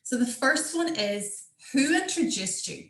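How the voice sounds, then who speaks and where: Irish woman, upbeat trainer, higher pitch, echoey room. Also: big pitch swings, measured pace, a bit bright. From Ireland (Donegal). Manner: enthusiastic, upbeat. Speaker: marketing director giving a team training. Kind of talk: motivational training call.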